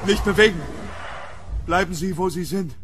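Panicked nobleman in a horror radio play, high sharp voice, stammering and urgent. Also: normal speed, a little hiss or hum. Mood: desperate, defiant. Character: panicked, hunted English nobleman, frantic and desperate. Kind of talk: horror radio drama acting.

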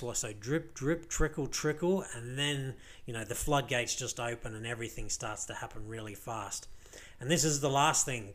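Aussie guy with a croaky tired voice rambling thru a vlog, lotta you knows. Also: medium pitch, a bit bright. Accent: Australian. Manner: rambling, filler-heavy, many restarts. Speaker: crypto vlogger. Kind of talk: casual screen-share commentary.

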